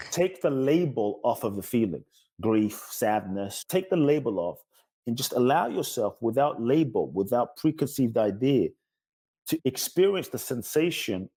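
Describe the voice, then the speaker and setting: A man's voice: on the low side, warm tone, breathy edge. A man speaking as a guest spiritual teacher in an interview, earnestly explaining in emphatic, repeating lists. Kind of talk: earnest self-help teaching in conversation.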